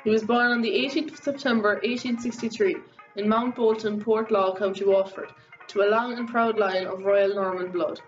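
A young woman reads an educational script at a medium pitch. The recording is a little muffled, and music plays faintly underneath. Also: steady tone, moderate pace. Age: young adult. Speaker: student presenter. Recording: slightly dull band-limited, faint music.

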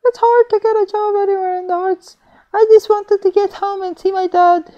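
Guy doing a young female student, extremely high thin falsetto, chatty, girlish, visual novel reading, muffled recording. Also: fairly even intonation, moderate pace, warm tone. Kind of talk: visual novel read-aloud.

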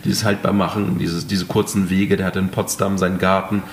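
A German man with a very low, deep voice, speaking slowly and hesitantly with frequent "ähm"s as he chats about his work as a chef. Faint background noise sits behind his voice. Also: level tone. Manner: hesitant, many fillers. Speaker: chef, restaurant owner. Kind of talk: casual interview talk.

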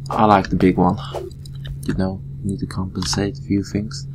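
A man with a Swedish accent giving relaxed let's-play commentary, thinking aloud as he plays. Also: sits low, background noise. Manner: relaxed, thinking aloud while playing, slow with fillers. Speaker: gameplay commentator.

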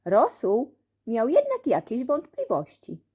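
A woman reading a children's storybook aloud as an expressive audiobook narrator. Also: fairly high pitch, slow pace, husky. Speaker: audiobook reader.

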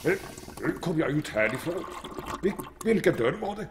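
Male actor as sozzled German U-boat captain, high-pitched, swooping, amorous, boisterous, radio play. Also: lively intonation, background noise, close to an everyday voice. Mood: confused, frustrated. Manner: slurring exuberance, mixing German and Swedish. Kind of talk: audio drama acting.

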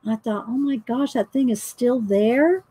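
A woman's voice: a little high, a little slow, warm tone, slightly rough, dry recording. A middle-aged woman, chatting and laughing often as she tells a story while painting. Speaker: painting vlogger. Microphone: overhead camera mic, speaker off screen.

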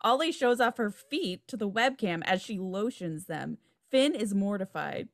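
A woman's voice: medium pitch, lively intonation, a little slow, no echo. Woman reading stage directions, dry sound. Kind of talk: scripted narration. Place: indoor room on a video call. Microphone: video call.

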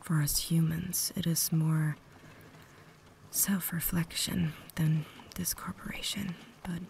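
A woman playing a cultured, caring vampire lady in an intimate ASMR roleplay, whispering softly with refined, gentle phrasing. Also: low-pitched, fairly even intonation, slow pace, full character voice. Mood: gentle, reassuring. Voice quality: soft, breathy whisper. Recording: noticeable background ambience noise.

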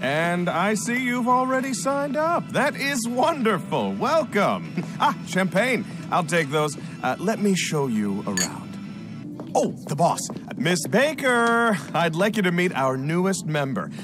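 A fussy, eager-to-please casino host for a game cutscene. His voice sits fairly high and swings widely in pitch, formal and fawning with a flustered edge. Music plays clearly behind him. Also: big pitch swings, unhurried. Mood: polished, welcoming. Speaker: casino guest services director. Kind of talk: video game cutscene acting.

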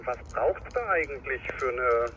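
A man's voice: mid-range voice, expressive, unhurried, bright, forceful tone. A man from Germany with a German accent, chatting casually as a visiting radio hobbyist. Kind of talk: casual chat. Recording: slightly muffled outdoor audio with faint noise.

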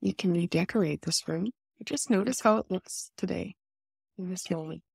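A woman leading a guided meditation, giving soothing, unhurried instructions with pauses between phrases. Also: on the low side, vocal fry, a little mellow, slightly rough, dry recording, clean studio sound.

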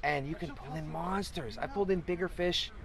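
A man's voice: fairly high pitch, lively intonation, brisk, a crowd in the background. A middle-aged man organizing a fishing tournament, talking enthusiastically in a rambling way with frequent restarts, recorded outdoors on a beach. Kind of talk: casual interview talk. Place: outdoors on a beach, under a cart canopy.

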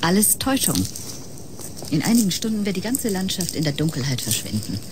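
Low-voiced, otherworldly, detached woman. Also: sing-song, brisk, background noise. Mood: wistful, foreboding. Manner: solemn, enigmatic, drifting into breathy whispers. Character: detached, otherworldly woman who scorns human emotion. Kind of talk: German science-fiction radio drama acting.

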